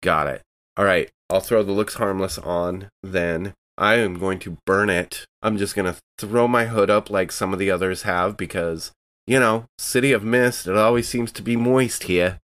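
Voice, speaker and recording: Male tabletop gamer, deep pitch, casual and improvised, talks through his actions informally. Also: normal speed, fairly bright tone, dry recording. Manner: informal, thinking aloud. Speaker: player in a tabletop game. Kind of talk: casual improvised game talk.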